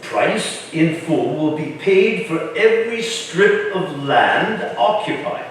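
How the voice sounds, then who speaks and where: An actor playing an imperious, shrewd older colonial governor with a formal, wry, commanding manner in a staged reading. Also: male voice, on the high side, sing-song, slow pace. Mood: formal, assured. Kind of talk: staged reading of a historical play. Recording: echoey room from far off, faint noise.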